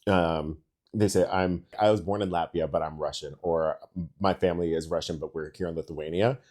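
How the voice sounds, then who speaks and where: A young man from the States hosting a casual podcast chat, relaxed, asking questions and affirming briefly. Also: sits low, moderate pace. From United States. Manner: relaxed, asks questions, affirms with short replies. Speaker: podcast host.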